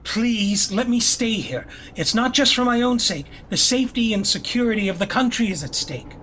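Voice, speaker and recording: A man acts out a desperate, quick-witted fugitive in an audio drama. His voice sits high for a man and he speaks with polite, pleading earnestness over faint noise. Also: lively intonation, fairly bright tone. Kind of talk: amateur audio play acting. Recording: faintly noisy home-device recording.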